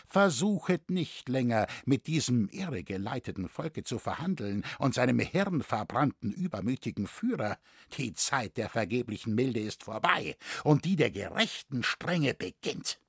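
A man's voice: mid-range voice, big pitch swings, normal speed, breathy edge, no room sound, clean studio sound. A man voicing a haughty, stern imperial captain in a story, dignified and declamatory in archaic speech. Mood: stern, proclaiming.

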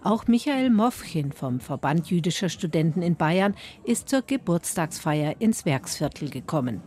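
A woman narrating a radio report in a clear, even way. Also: on the low side, normal speed, slight background noise.

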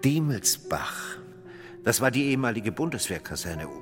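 An actor playing a persuasive, forgetful dealmaker in a radio drama, musing aloud and repeating himself. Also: male voice, mid-range voice, big pitch swings, normal speed, over music, lightly acted. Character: smooth male schemer, defensive, vague, persuasive dealmaker.

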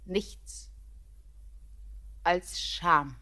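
An actress voicing Anna, a young bride torn between tenderness and despair, moving between hushed whispers and passionate outbursts. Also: female voice, on the low side, drawn-out words, exaggerated voice. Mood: anguished. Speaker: lead actress. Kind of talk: classic radio play acting.

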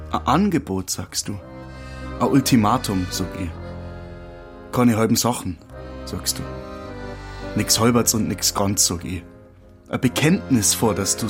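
A man's voice: lowish voice, close to an everyday voice. A man playing a bitter, wounded lover in a radio drama, speaking with a Bavarian accent in a sardonic, rhetorical way. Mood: bitterly sardonic. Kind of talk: radio drama acting in dialect.

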